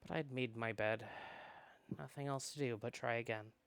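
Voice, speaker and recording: A man reads story narration aloud in a dramatic style. His voice is creaky with fry yet smooth and resonant, and the sound is close and dry. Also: medium pitch, steady tone, normal speed, a bit bright, dry recording. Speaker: host reading a visual novel aloud.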